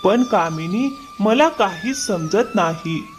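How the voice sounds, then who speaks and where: A man's voice: high-pitched, lively intonation, takes it slowly. Guy acting an honest village younger brother in a cartoon fable, earnest plaintive voice, music behind. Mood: hurt, puzzled. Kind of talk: cartoon moral story dialogue.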